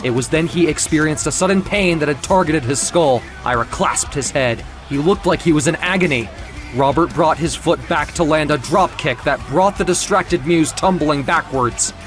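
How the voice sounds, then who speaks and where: A man narrating a dark fiction story in a dramatic, theatrical and deliberate way, with music behind him. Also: a little high. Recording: music under the voice.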